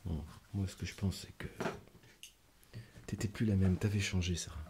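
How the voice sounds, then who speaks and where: A man playing a middle-aged hospital doctor still wounded by an old breakup, in a hushed ASMR roleplay. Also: sits low, fairly even intonation, normal speed, noisy background. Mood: reproachful, wounded. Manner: hushed, whispery speech. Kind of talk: French ASMR roleplay acting.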